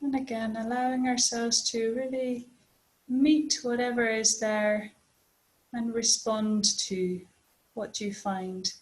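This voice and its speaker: A woman leading a guided body scan meditation as a teacher, speaking gently and unhurriedly with long pauses. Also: on the high side, little pitch movement, low-quality recording.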